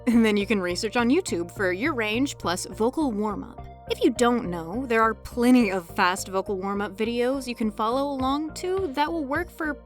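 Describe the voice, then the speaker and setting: A woman narrating a scripted tutorial as host, with a playful, cheeky manner and joking asides. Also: mid-range voice. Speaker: host, indie voice actor giving tutorial. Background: background music.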